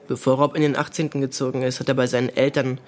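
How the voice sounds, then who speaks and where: A young actor playing a self-assured, probing teenage boy from a wealthy family in a radio drama, cool and deliberate. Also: medium pitch, level tone, close to an everyday voice. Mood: cool recounting. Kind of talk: German radio drama, recounting and dialogue.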